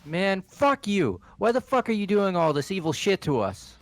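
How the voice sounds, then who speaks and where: A man's voice: sits high, big pitch swings, moderate pace, faint music behind. A man voicing a furious, foul-mouthed young student, confrontational and threatening, in a fan-voiced video game. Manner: aggressive, confrontational. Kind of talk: fan voice acting over a game playthrough.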